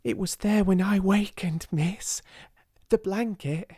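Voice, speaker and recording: A man with a British accent voicing an awestruck young scullery maid in an audiobook, breathless and excited. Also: high voice, slow, dry recording, clean studio sound, voice pushed up, heavily acted. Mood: elated.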